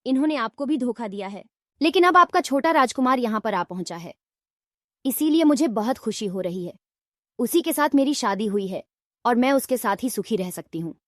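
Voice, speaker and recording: Woman as an earnest princess, fairly high voice. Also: expressive, moderate pace, fairly bright tone, no room sound, clean studio sound. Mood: earnest, relieved. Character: young princess, earnest and plainspoken. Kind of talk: fairy tale character dialogue.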